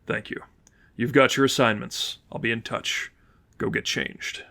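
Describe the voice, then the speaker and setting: Casting: male narrator as stern crime-fighting patriarch, clipped orders, quiet authority, mid-range voice. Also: fairly even intonation, unhurried, gravelly, heavy background noise. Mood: curt. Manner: terse, low-voiced, authoritative. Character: stern, commanding vigilante father in charge.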